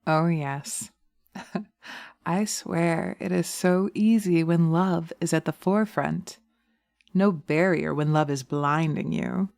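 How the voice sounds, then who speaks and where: A sly witch as acted by a woman in a hypnosis ASMR roleplay, drawing out words slowly in a mellow, breathy voice that often sinks to a whisper. She coaxes, taunts and commands seductively, very close and dry on the microphone. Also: low voice, steady tone, dry recording, heavily acted. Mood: sly, amused. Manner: slow, coaxing, taunting, commanding. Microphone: close binaural microphone.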